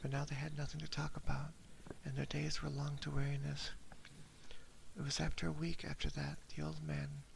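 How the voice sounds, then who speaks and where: A man reading a horror story in a slow, hushed ASMR style, often whispering, close to a binaural microphone. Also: on the high side, monotone, gravelly, slight background noise. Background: soft ambience.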